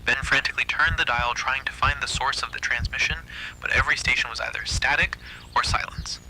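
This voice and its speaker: Male narrator for first-person horror fiction. Very bright, sharp-edged delivery at medium pitch, faint noise and hum behind. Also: fairly even intonation, brisk, vocal fry, poor audio.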